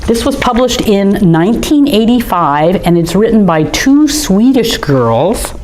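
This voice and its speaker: Woman storytime reader in a museum gallery; friendly, chatty picture-book reading aimed at children, mid-pitched voice. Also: very animated, measured pace, darkish tone, slight background noise. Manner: chatty, friendly, speaks straight to young kids. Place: art museum gallery, indoors.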